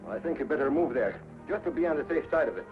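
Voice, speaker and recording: A man plays a heroic cowboy adventurer from a 1950s television Western. He speaks firmly and courteously, with calm self-assurance. The sound is thin and narrow, like a telephone, with faint music underneath. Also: a little high, racing, close to an everyday voice. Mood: firm, cautioning. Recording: tinny, band-limited 1950s TV soundtrack with music.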